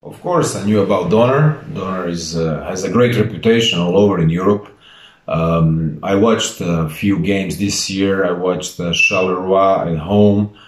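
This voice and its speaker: Man, late 30s, low voice, formal head coach addressing fans in a roomy, echoing space. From Croatia. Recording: roomy echo.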